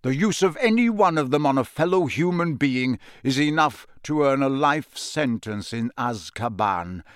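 Man voicing a gruff old professor, growling, stern, lively swings, dry sound. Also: mid-range voice, moderate pace, no room sound, lightly acted. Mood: stern lecturing. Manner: growling, emphatic, urgent.

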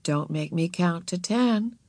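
Woman as a weary, firm mother, lively intonation. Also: fairly high pitch, moderate pace, no echo, voice pushed up. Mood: quietly stern. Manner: firm, restrained scolding.

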